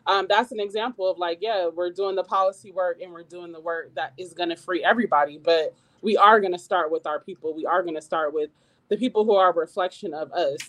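A woman guest on a radio talk show with a squeaky voice, speaking earnestly as a community organizer, with many fillers and restarts. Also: fairly quick, poor audio. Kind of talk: radio interview.

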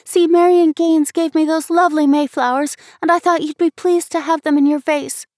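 A contrite little girl from a storybook, acted out by a woman, with a high, pleading voice. She speaks apologetically and tearfully, recorded close and dry. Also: fairly even intonation, normal speed, a little mellow, no room sound, natural voice. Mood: contrite, apologetic.

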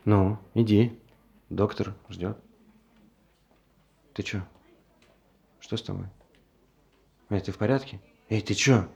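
A man's voice: fairly low pitch, fairly even intonation, slow, gravelly, long pauses, darkish tone, muffled recording. An actor playing a bored, impatient man who swears at his companion. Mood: irritated. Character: irritable, bored young man, foul-mouthed. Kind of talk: stage play acting.

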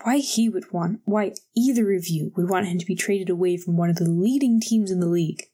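A woman's voice: on the low side, steady tone, normal speed, warm tone. A woman voicing a cool, businesslike female team manager who speaks matter-of-factly.

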